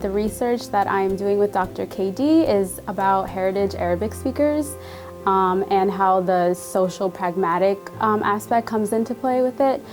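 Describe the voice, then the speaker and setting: A woman's voice: mid-range voice, moderate pace, a little mellow, faint music behind. A young woman, a student researcher, giving a casual spoken testimonial. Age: young adult.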